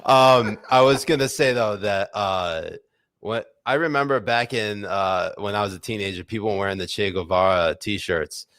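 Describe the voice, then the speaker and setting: A man's voice: on the low side, normal speed, fairly bright tone, no room sound, clean studio sound. A man in his thirties co-hosting a podcast, chatting casually, swearing freely and laughing often as he rambles through stories. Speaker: podcast co-host. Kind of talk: casual chat.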